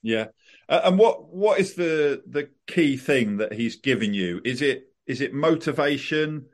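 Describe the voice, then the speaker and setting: A man's voice: mid-range voice, animated, measured pace. A man hosting a football podcast, asking long, layered questions and repeating words as he builds up to them. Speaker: podcast host. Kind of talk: interview questions.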